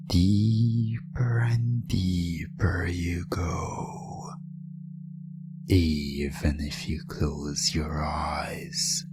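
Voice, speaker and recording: Hypnosis ASMR narrator: deep, unhurried delivery that often drops to a whisper, soothing yet commanding, music underneath. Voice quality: breathy, often dropping to a whisper. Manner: slow, soothing, commanding, repetitive.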